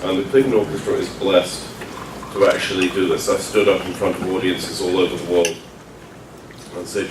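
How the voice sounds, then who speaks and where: Low-voiced Englishman speaking in an echoey room. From London, England. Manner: genial, joking, self-deprecating. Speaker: guest speaker, orchestra executive. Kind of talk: informal public talk. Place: meeting room during a breakfast gathering. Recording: roomy, distant mic, clattering dishes, noisy.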